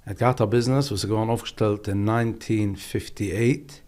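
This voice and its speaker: Podcast guest: man, 40s, from England, low and steady, unhurried storyteller. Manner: calm, unhurried, mixes languages freely. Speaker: podcast guest, business leader. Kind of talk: relaxed interview storytelling.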